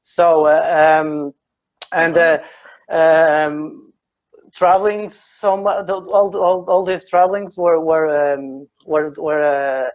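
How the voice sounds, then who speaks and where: Man, northern Portuguese accent, hesitant, fillers, slightly high voice, muffled sound. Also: little pitch movement, slow pace. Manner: hesitant, many fillers and restarts. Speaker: guest, architect and drawing teacher. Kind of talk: casual interview. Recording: dull lo-fi sound.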